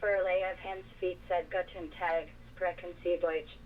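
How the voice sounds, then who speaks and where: A woman's voice: lowish voice, flat delivery, measured pace. Robot tts lady, american, reading chat msgs thru a speakerphone, dull sound, some noise. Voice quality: synthetic. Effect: telephone speakerphone. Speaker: text-to-speech reader of viewer messages. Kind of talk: synthetic read-aloud of chat messages. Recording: muffled, distant speakerphone with background noise.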